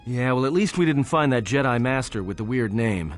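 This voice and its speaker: A man's voice: medium pitch, lively intonation, a little fast, close to an everyday voice. A wry adventurer played by a man in a video game. He has a mid-range voice and a dry, sarcastic delivery, with light music behind him. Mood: dry sarcasm. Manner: dry, sardonic.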